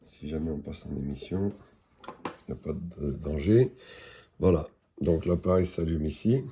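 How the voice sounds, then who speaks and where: Male product presenter, very dark husky tone, matter-of-fact and halting, unscripted demo. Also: a bass voice.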